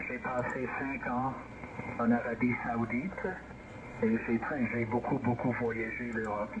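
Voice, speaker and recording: A man's voice: mid-range voice, flat delivery, a little slow. A man chatting casually as an amateur radio operator, his voice coming through a radio transmission. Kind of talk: casual ham radio conversation. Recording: very noisy, dull radio transmission. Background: loud radio static noise.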